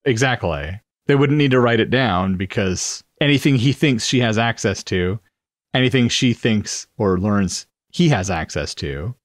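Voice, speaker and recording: Lowish-voiced, reflective man in conversation. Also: expressive, unhurried, no room sound, clean studio sound. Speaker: podcast co-host. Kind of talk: casual brainstorming discussion.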